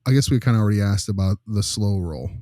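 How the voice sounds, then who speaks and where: A man's voice: on the low side, quick pace, mellow, dry recording, clean studio sound. A man hosting a casual case discussion, informal and blunt, pressing the others with questions. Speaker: discussion host. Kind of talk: casual panel discussion of clinical cases.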